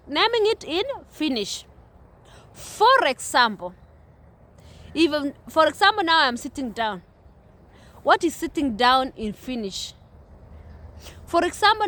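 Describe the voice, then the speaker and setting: Kenyan woman, young, high voice; earnest vlogger, restarts a lot, recorded outside. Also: fairly bright tone. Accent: Kenyan English. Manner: repetitive, earnest, many restarts. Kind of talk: casual talk to camera. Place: outdoors at a playground.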